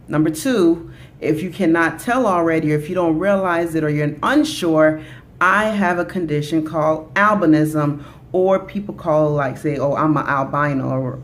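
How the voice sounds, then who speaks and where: A chatty woman in her thirties, originally from Jamaica, talks to camera in an easygoing, upbeat vlog style. She often pauses on fillers like "you know" and "like" as she goes along. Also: deep voice, a little hiss or hum. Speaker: vlogger. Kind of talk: casual talk to camera.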